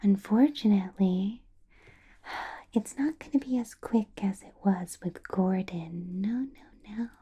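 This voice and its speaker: A woman playing a centuries-old vampire boss in a whispered ASMR roleplay, teasing and menacing, with deliberate pauses and a falsely pleasant manner. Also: mid-range voice, dark tone. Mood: softly menacing. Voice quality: breathy whisper.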